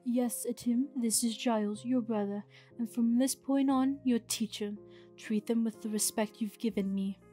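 Woman acting commanding goddess mother, bright voice. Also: fairly high pitch, fairly even intonation, soft background music, close to an everyday voice. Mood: persuasive. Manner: measured, imperious delivery. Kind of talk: scripted fantasy game roleplay.

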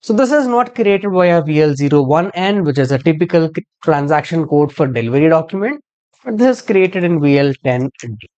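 A man giving a screen-share software tutorial as a trainer, explaining step by step and spelling out codes. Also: a little high, animated, fairly quick, muffled recording.

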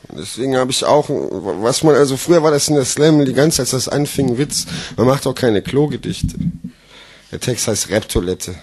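A man's voice: mid-range voice, lively intonation, normal speed, a little mellow, husky. A man performing comic slam poetry live on stage into a microphone, with a theatrical, emphatic delivery.

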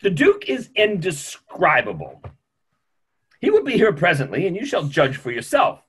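A man's voice: high-pitched, wildly swooping pitch, normal speed, fairly bright tone, breathy edge, exaggerated voice. A man in his fifties playing a grumpy, opinionated older family doctor in a theatrical play reading, with a dry, emphatic manner. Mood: exasperated explaining. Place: small home room on a video call.

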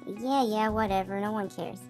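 A woman's voice: mid-range voice, fairly even intonation, moderate pace, a little mellow, faint music behind, close to an everyday voice. A woman voicing a bossy, sneering schoolgirl bully in a game roleplay. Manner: sneering, demanding.

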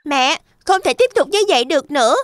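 A woman's voice: high-pitched, expressive, a little fast. A woman voicing an earnest, responsible little pig boy in a children's cartoon. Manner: earnest, admonishing.

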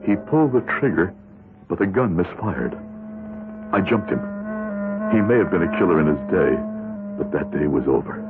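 A man's voice: low voice, lively intonation, moderate pace, pauses often, over loud music. A man playing a despairing, earnest ordinary man in a 1970s radio drama. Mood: brooding. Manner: brooding inner monologue, earnest pleading when threatened. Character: despairing ordinary man, decent but rationalizing, narrating his misdeeds. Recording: thin phone-like vintage radio broadcast.

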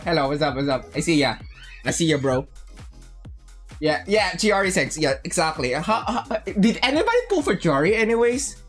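A man with a high voice hosts a casual gaming livestream. He talks in an excitable, slangy manner and often doubles up words and phrases. Music plays softly behind him.

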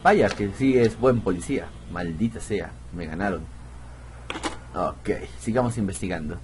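Low-voiced man doing gameplay commentary. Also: unhurried, background noise. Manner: thinks aloud, muttering to himself. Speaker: gamer, video host.

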